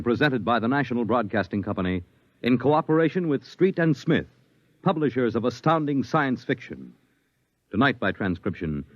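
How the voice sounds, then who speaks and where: A man announcing the credits of a radio program in a formal manner. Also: mid-range voice. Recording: dull lo-fi vintage radio broadcast.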